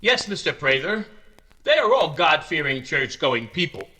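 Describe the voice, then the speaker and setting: A piercing, pious, long-winded reverend. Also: male voice, a little high, big pitch swings, pushed and bright. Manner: sanctimonious, preachy, drawn-out. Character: pious, long-winded small-town reverend giving testimony. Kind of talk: old-time radio drama acting.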